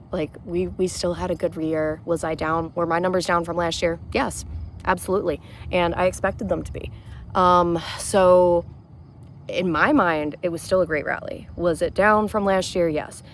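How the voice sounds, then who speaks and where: A woman with a hoarse voice, speaking as a rally vendor in a chatty, matter-of-fact way. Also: on the low side, slight background noise. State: hoarse, losing her voice. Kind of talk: casual vlog chat to camera. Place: outdoor campground.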